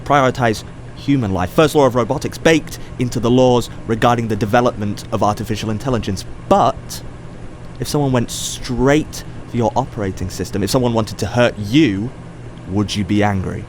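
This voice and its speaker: A man acts out an inquisitive ethics professor with a medium-pitched voice. He is conversational and probing, feeling his way through his questions. It sounds like an audio drama, with faint city ambience behind him. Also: moderate pace, a little hiss or hum, natural voice. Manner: conversational, probing, hesitant restarts.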